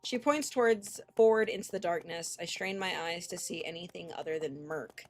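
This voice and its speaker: Expressive woman streamer, slightly low voice. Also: moderate pace, slight background noise. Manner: expressive, casual, sweary. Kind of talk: expressive live read-aloud of a visual novel with casual reactions.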